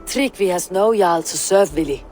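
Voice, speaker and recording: Woman playing a steady, blunt Viking warrior. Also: fairly low pitch, normal speed, natural voice. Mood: firm, grave. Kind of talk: dramatic video game acting. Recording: music behind the voice.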